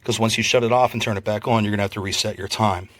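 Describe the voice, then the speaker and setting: A man giving a casual, unscripted product review in a plainspoken, measured, explanatory way. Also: on the low side, fairly even intonation, fairly bright tone, no echo.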